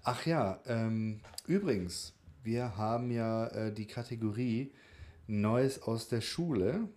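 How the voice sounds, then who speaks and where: A man's voice: lowish voice, expressive, slow pace, a little mellow. A man co-hosting a podcast in casual, conversational talk. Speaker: podcast co-host.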